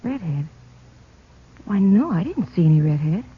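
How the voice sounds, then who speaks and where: A woman's voice: fairly low pitch, very animated, moderate pace, slightly rough, soft background music, full character voice. An actress playing a frightened, hesitant woman witness in an old-time radio crime drama, stammering as she recounts a killing. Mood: nervous, unsure. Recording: muffled, noisy old radio recording.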